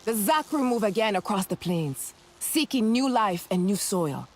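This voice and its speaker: A woman's voice: medium pitch, animated, moderate pace, a little hiss or hum, close to an everyday voice. An actress playing a solemn female clan leader in a video game, speaking ceremonially. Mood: reverent, inviting.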